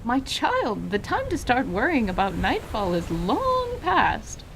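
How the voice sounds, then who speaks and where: An elderly storybook granny in a radio play. Her voice sits high and she takes her time, scolding and teasing with quaint theatrical turns of phrase. There is some faint noise behind her. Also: wildly swooping pitch, takes it slowly, a bit bright, exaggerated voice, poor audio. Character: teasing elderly human grandmother, small and wrinkled, fondly scolding. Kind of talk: dramatized radio theater acting.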